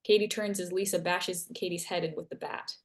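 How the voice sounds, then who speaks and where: A woman with a somewhat low voice reads stage directions aloud. It is scripted narration for a live table read, describing each action and scene heading in turn. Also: steady tone, fairly quick.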